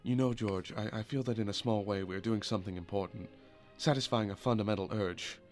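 A man's voice: on the low side, fairly quick, a little mellow, soft background music, lightly acted. An actor playing a kindly, weary, principled small-town father in a radio drama. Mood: sincere. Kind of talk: old-time radio drama acting.

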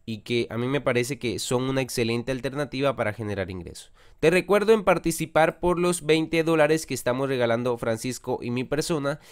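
A young man hosting a screen-recorded tutorial, talking the viewer through each step in a chatty way and often checking in with a quick question. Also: medium pitch, clean tone. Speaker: channel host.

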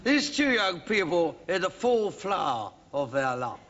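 A man's voice: sits high, big pitch swings, a little slow, a little hiss or hum, heavily acted. An actor playing an older, tipsy father of the bride who gives a rambling wedding toast, slurring and tripping over words in a TV sketch. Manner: slurring, stumbling over words, self-correcting malapropisms. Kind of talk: 1980s TV sketch comedy. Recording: dull old TV sketch with loud audience laughter. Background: studio audience laughing.

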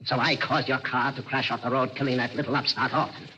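An actor playing a menacing mad inventor, mock-courteous and deliberate, in the style of 1940s radio drama. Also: male voice, mid-range voice, little pitch movement, pushed and bright, lo-fi sound, natural voice. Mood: boastful. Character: gloating male mad inventor, menacing, feigning a hunchback. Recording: noisy old radio drama recording.

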